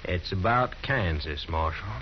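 A man's voice: low-pitched, lively intonation, measured pace. A man acting a blunt trail-herd cowboy in a 1950s radio western, with a Texan accent and curt, plainspoken delivery. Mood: blunt, guarded. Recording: dull, noisy 1950s radio recording.

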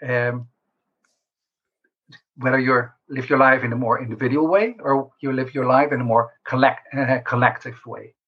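A man answering interview questions as a researcher, explaining in a measured way with pauses mid-sentence. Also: mid-range voice, steady tone, breathy edge. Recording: slightly dull lo-fi call audio.

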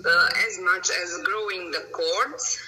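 A woman from Romania, a dog breeder answering interview questions in halting English full of pauses, fillers and grammar. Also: fairly low pitch, fairly even intonation, pushed and bright, distant mic, slight background noise, low-quality recording.

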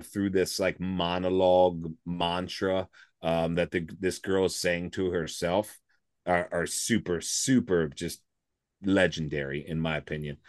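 A man in his forties with a slightly raspy voice, co-hosting a casual music podcast and talking enthusiastically, with lots of fillers and restarts. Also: low-pitched, a little mellow, no echo, clean studio sound. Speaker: podcast co-host.

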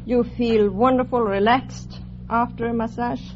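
A woman with a European accent speaks slowly and haltingly in a slightly high voice. She is a contestant on an old radio quiz show. The vintage recording is noisy. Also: steady tone, muffled recording. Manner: halting, simple hesitant phrasing. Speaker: quiz show contestant, steam bath operator.

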